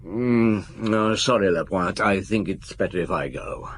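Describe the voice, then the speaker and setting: An actor playing a weary, hungry middle-aged chief inspector in a radio drama, speaking in a dry, patient, murmuring way. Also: male voice, fairly low pitch, measured pace, breathy edge. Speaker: detective. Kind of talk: 1990s BBC radio drama acting.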